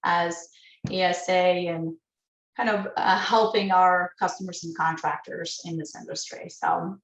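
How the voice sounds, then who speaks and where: A woman from Lithuania speaks English with a noticeable Lithuanian accent, presenting a business webinar. Her delivery is earnest and a little halting, and she often restarts phrases. The room around her rings with echo. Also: on the low side, steady tone. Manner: earnest, halting, with self-corrections.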